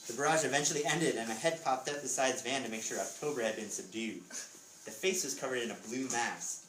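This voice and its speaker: A man's voice: medium pitch, brisk, fairly bright tone. Man reading aloud in an echoey room. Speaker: author reading from his book at a school readers theatre. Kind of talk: expressive storybook reading with casual asides. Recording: echoey room, distant mic, background noise.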